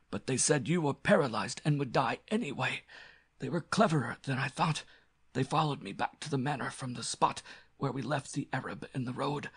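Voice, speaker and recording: Man acting out a gasping, desperate villain priest, pitched up. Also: a bit bright, breathy edge. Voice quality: breathless, strained. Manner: urgent, pleading, boastful.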